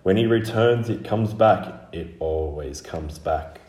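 A man voicing an ancient, dry, ominous guardian spirit in a storybook, with a slow, chanting delivery. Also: low voice, darkish tone, echoey room, far from the mic. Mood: solemn, menacing.

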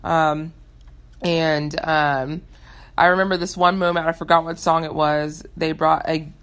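A woman's voice: deep voice, flat delivery, measured pace, a bit bright. A young American woman hosting a casual, upbeat question-and-answer show, chatting informally to listeners. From United States. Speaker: host of a Q&A show.

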